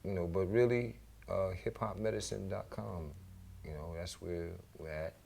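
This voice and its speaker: A man's voice: low voice, steady tone, moderate pace, gravelly, darkish tone. A man with a New York accent chatting casually as an interview guest, rambling and slangy, with lots of "you know" and "man". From New York City. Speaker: DJ and music teacher, radio show guest.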